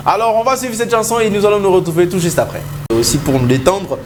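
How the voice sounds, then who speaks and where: Jovial Congolese morning-show host, man, fairly high voice, steady noise under him. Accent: Congolese French. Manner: jovial, drawn-out greetings, frequent laughs. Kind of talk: casual TV morning show greetings.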